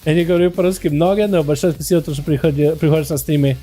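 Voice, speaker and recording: Game streamer, male, American accent, hesitant mixed Russian and English, warm tone. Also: a little high, fairly even intonation, soft background music. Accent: American, speaking Russian. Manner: halting, searching for words, switches between Russian and English. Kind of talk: casual livestream chat.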